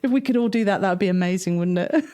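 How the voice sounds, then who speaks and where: A woman's voice: mid-range voice, quick pace, warm tone. A woman hosting informal Bible storytelling for children in a chatty, giggly, enthusiastic way. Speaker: storytelling host.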